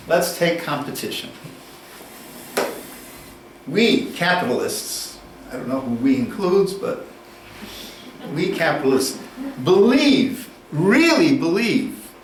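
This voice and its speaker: A man giving a university lecture, deliberate and unhurried, posing questions and then answering them. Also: on the high side, slight background noise. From United States. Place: lecture room. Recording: echoey room, distant mic, faint ambience.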